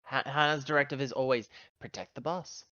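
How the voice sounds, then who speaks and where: Male tabletop player, medium pitch, casual game talk, dry slightly lo-fi recording. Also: steady tone, a little fast, bright, forceful tone, dry recording.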